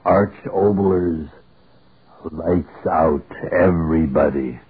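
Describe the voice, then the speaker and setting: Very slow, deep ominous radio announcer. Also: male voice, husky, soft background music, full character voice. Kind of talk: 1940s radio horror opening. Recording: tinny old radio recording.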